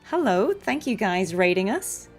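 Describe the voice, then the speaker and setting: A woman's voice: medium pitch, very animated, measured pace, soft background music. A woman live streaming a game, chatting casually in a musing, appreciative way.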